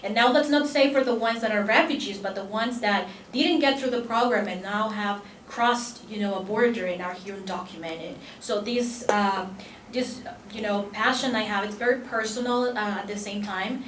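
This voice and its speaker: A woman's voice: medium pitch, normal speed, fairly bright tone, slight background noise. Woman, Salvadoran Spanish accent, panel speaker, earnest, echoey distant room. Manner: earnest, many fillers and restarts. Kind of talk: conference panel talk. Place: conference room.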